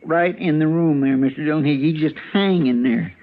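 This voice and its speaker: A man's voice: on the high side, normal speed, close to an everyday voice. Tinny-sounding folksy frontier deputy played by a man. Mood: shaken. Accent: American, rural Western drawl. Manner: folksy, deferential, stammering when rattled. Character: folksy, loyal, easily rattled marshal's deputy. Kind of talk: old time radio western acting. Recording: tinny, noisy old radio recording.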